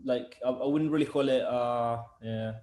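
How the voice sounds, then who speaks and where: A man's voice: mid-range voice, fairly even intonation, a little slow, darkish tone. Rambling man, roomy echo. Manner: rambling, many fillers and restarts. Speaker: sound engineer and product developer, guest. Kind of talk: casual interview chat.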